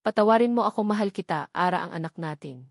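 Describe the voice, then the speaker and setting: A woman's voice: on the low side, expressive, quick pace, no echo, studio quality. A woman voicing a remorseful, grief-stricken man pleading tearfully in a romantic audio drama. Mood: desperate pleading. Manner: sobbing, pleading.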